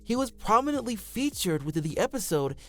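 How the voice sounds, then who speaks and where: A man's voice: sits high, sing-song, fairly quick, a bit bright, faint music behind. A man reading scripted fan commentary as a voice-over for a video essay, close on the microphone.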